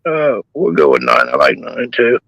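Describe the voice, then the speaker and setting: A man's voice: sits low, huge pitch swings, normal speed, fairly bright tone, highs cut off, a little hiss or hum. A man who is losing his voice, talking in casual crude banter as a caller on a live stream chat show, laid-back and rambling. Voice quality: gravelly, husky.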